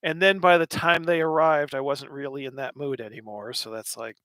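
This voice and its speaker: A man's voice: medium pitch, steady tone, moderate pace, a bit bright, dry recording. An American man in his thirties hosting a casual livestream, answering chat questions in a rambling, wry way with lots of fillers. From United States.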